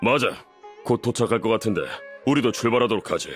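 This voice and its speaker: A cocky desert mercenary played by a man, blunt and swaggering, in a mid-pitched male voice. It is video game dialogue with music behind it. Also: fast, natural voice. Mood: businesslike.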